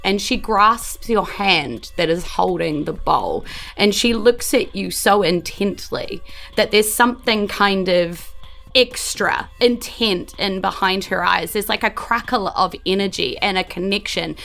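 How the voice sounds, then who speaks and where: A woman's voice: medium pitch, a little slow, gravelly, fairly bright tone. A woman, narrating a tabletop game off the cuff as game master. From New Zealand.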